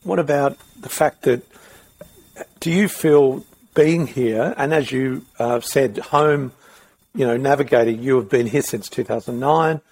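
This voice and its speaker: A man's voice: mid-range voice, measured pace, darkish tone, no echo, low-quality recording. A middle-aged Australian man interviewing in a conversational, reflective way, circling around his questions.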